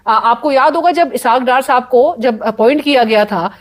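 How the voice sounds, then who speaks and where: A woman speaks as a guest analyst on a political talk show. Her voice is somewhat high, and she explains things in a measured way, pausing on hesitant fillers as she works through each point.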